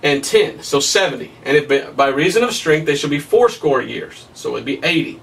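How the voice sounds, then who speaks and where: A man in his thirties preaching a Bible study at a whiteboard, emphatic and excitable, his voice somewhat hoarse. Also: on the high side, moderate pace, far from the mic, rough recording. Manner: emphatic, rising to excited peaks, laughs at his own points. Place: small room in front of a whiteboard. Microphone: camera at a distance.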